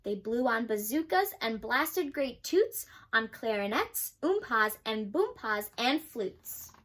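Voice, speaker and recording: A young woman with a high voice reads a rhyming children's book aloud. She gives each character its own voice, and her tone is bright and lively. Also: takes it slowly. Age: young adult. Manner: expressive storybook reading, voices for characters. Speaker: student reader.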